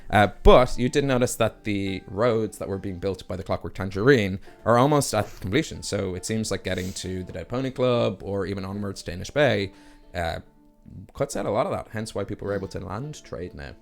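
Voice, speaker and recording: Laid-back Irish man with a gravelly low voice. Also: expressive, faint music behind. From Ireland. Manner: laid-back, laughs often, drawn-out narration. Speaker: game master. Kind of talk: narrating a tabletop game.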